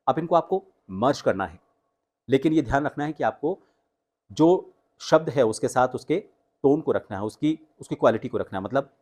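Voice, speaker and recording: A man speaking as a voice coach, explaining techniques in an instructional way with deliberate emphasis on key words. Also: medium pitch, expressive, a little mellow. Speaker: voice coach and host. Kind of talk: instructional tutorial with spoken demonstrations.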